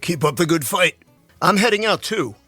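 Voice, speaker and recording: A man plays an affable, genial character in a fiction reading, talking conversationally. His voice is husky and bright, a little high in pitch. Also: animated, moderate pace, no room sound, faint music behind, heavily acted. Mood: friendly. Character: genial married man, politically minded science fiction fan. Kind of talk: dramatic reading of novel dialogue.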